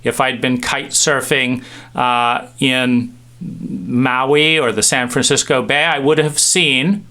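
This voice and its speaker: A middle-aged man giving a reflective talk, musing with pauses and restarts as he tells stories. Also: mid-range voice, sharp tone. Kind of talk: reflective monologue with anecdotes.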